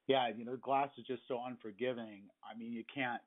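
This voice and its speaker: A man's voice: mid-range voice, fairly even intonation, moderate pace. A man chatting conversationally as an interviewer with trade experience, recorded over a telephone. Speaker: interviewer with experience as a glass installer. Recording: thin, narrow phone line.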